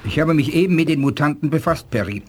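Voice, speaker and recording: An actor playing a calm, dignified alien scientist in a 1980s radio drama, speaking in a measured, formal, courteous way. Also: male voice, a little high, background noise, natural voice. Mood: sober, doubtful.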